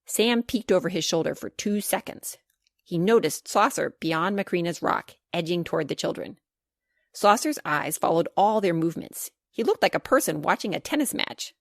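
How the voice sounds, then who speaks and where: A woman reading a children's storybook aloud as scripted narration, expressive and clearly paced. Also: on the low side, moderate pace, dry recording, studio quality. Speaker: author reading her children's book.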